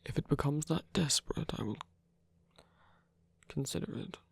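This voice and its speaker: Male actor as weary rebel villain for ASMR audio drama: husky, creaky, halting murmur, tender and gently teasing, very close and dry. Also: on the high side, expressive, no echo, heavily acted. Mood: reluctant, stubborn. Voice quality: breathy, gravelly, often near a whisper. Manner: hesitant, halting, murmured with pauses.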